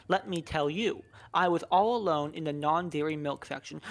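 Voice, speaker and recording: A woman's voice: on the low side, creaky. A woman playing an earnest, opinionated friend who tells a story matter-of-factly in a comic radio play.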